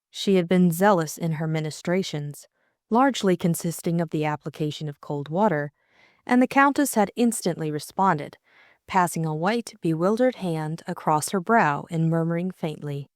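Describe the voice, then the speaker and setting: A woman's voice: lowish voice, normal speed, a little mellow, no echo, studio quality. A woman reading a story aloud as an audiobook narrator.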